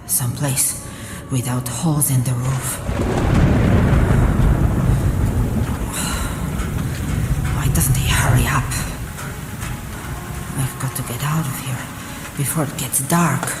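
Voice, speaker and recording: An actress playing a wary, weary lone woman surviving in a ruined war zone, talking to herself in halting, dramatic radio play style. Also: a bass voice, steady tone, heavy background noise. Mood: wary, urgent. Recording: echoey reverberant hall, music behind.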